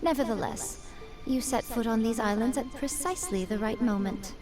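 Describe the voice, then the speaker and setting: A woman's voice: a little high, moderate pace, over music, natural voice. Woman playing a composed, enigmatic noble lady. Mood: knowing. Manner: cryptic, formal, composed. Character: poised, enigmatic noble shrine woman, knowing. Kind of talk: English video game voice acting.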